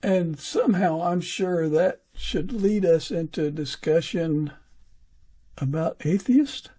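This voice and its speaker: A man hosting a casual podcast interview, talking in a hesitant, halting way. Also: on the high side, mellow. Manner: hesitant, halting, chuckles between phrases. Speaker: podcast host.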